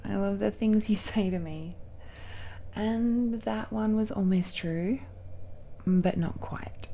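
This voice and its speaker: A woman voicing a tender, nervous girlfriend of dragon blood in an intimate romantic audio roleplay, speaking haltingly and softly, often dropping into whispers. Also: mid-range voice, a little hiss or hum. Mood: playful, affectionate. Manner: slow, halting, hushed, often sighing or lapsing into whispers. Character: tender, nervous dragon-descended girlfriend confiding in her lover.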